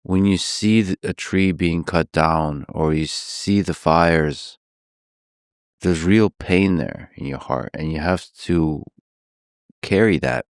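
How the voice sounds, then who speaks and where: Male podcast host, low voice, slow and measured, dry close mic. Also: clear, resonant tone, no room sound. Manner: slow, measured, reads a long post aloud. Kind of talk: long-form interview.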